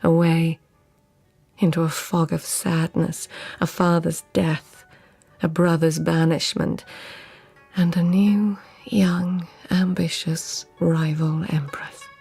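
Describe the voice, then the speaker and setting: A haunted court lady in a literary radio drama. Her voice is low and husky with a creaky edge, often sinking to a breathy near-whisper as she shapes each phrase deliberately and with intense devotion. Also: flat delivery, soft background music, exaggerated voice. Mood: grieving, haunted. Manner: hushed, deliberate, haunted storytelling.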